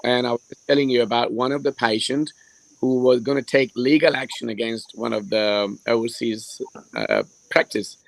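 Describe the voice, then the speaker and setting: A hoarse man on a video call panel, his voice gravelly with fry. He talks hesitantly with plenty of fillers, and the sound is close and dry. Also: medium pitch, expressive, normal speed, no room sound, poor audio. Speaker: hair restoration surgeon, panelist. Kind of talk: live stream panel discussion.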